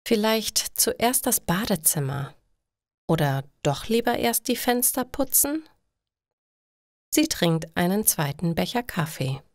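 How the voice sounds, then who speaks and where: A woman's voice: mid-range voice, big pitch swings, slow pace, dry recording, clean studio sound. A woman reading a scripted story for language learners in careful, separated phrases.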